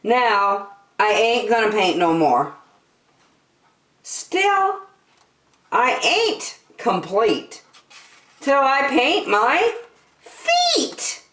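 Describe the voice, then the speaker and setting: A woman hosting a children's story time, reading a picture book in an animated, sing-song way. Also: on the high side. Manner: slow, animated delivery addressed to young children. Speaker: children's storytime host and reader. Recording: roomy echo, distant microphone.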